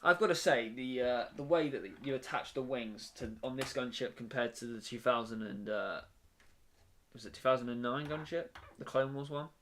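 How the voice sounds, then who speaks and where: A man's voice: medium pitch, normal speed, fairly bright tone, slight background noise. A young man chatting casually on a live stream from his bedroom while he builds, rambling with lots of fillers and restarts.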